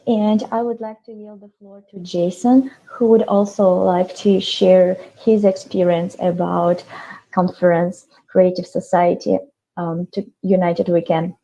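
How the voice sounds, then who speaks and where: A woman moderator speaks in polite, courteous tones with a mellow, darkish voice. She sounds set back from the microphone, on dull, lo-fi audio. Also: fairly low pitch, flat delivery, a little slow. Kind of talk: formal hand-off between speakers. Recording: dull lo-fi audio, distant from the mic.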